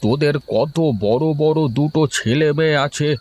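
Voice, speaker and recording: A man voicing a worried old father who speaks slowly to his grown daughter in a cartoon. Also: a little high, darkish tone, a little hiss or hum, close to an everyday voice. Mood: scolding. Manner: slow, scolding then yielding.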